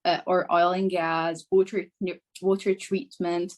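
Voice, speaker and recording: A woman's voice: fairly low pitch, flat delivery, unhurried. A young woman with Arabic as her first language, from Syria, speaking as a guest engineer on a video call in an earnest, eager way. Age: young adult. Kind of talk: casual conversational answer.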